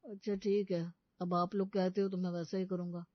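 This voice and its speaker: A man playing a dance-crazy young groom in an animated comedy story, pleading in a whiny way and easily swayed. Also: sits high, normal speed, dry recording, natural voice. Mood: doubtful. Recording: dull, lo-fi studio voice-over.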